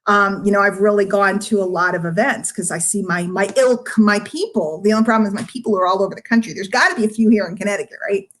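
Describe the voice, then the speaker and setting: A woman's voice: mid-range voice, fast talker, hardly any pauses, fairly bright tone. A middle-aged woman speaking casually to her online followers as a marketing coach, candid and rambling, with emphatic points made straight to the listener. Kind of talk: casual live-stream chat to followers.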